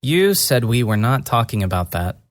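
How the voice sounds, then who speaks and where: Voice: mid-range voice, sing-song, moderate pace, dry recording, natural voice. A narrator voicing a bewildered male hero with no memory, wry and understated, in a fantasy audio story. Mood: wry, puzzled.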